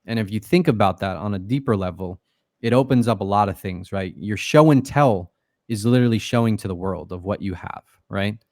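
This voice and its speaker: A man's voice: lowish voice, brisk, a little mellow, no room sound, studio quality. A man talking as a podcast guest in loose, casual speech, full of fillers and swearing. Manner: loose and profane, many fillers. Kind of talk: casual interview talk.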